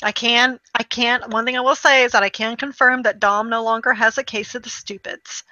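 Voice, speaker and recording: A woman chatting casually about video games, telling stories in an animated way with acted-out quotes and the odd swear word. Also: fairly high pitch, brisk, no echo, muffled recording. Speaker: podcast host.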